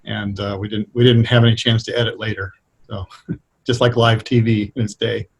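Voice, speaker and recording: Thoughtful, halting American man being interviewed. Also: fairly low pitch, level tone, slightly rough. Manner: hesitant, thoughtful, halting delivery. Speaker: interview guest, radio playwright. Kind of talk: conversational explanation.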